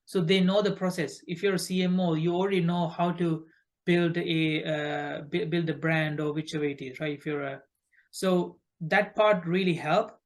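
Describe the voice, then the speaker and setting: A man from Sri Lanka answers interview questions conversationally, often halting and repeating words mid-phrase. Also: on the high side, steady tone. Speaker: podcast guest, recruitment company founder.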